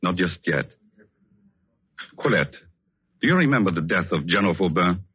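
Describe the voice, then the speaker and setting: A man acting a tender, wistful painter in love in a 1940s-style radio drama, speaking softly and hesitantly. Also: low voice, expressive, normal speed, breathy edge, no room sound, full character voice. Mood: grave, pointed. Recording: dull old radio recording, music behind.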